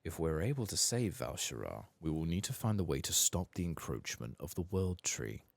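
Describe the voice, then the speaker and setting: A very deep, creaky temple guardian, acted out by a man, speaks softly and gravely in fantasy game dialogue. The delivery is formal and measured, full of devout solemnity. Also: very animated, no echo, full character voice. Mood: solemn, resolute. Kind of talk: soft-spoken reading of video game dialogue.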